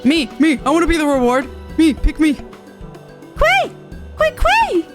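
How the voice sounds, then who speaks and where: A woman's voice: high voice, wildly swooping pitch, takes it slowly, pitched above the performer's own voice, full character voice. A woman voicing a tiny, eager dragon mascot from a fantasy game, squealing and pleading. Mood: eager, excited. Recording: game music behind the voice.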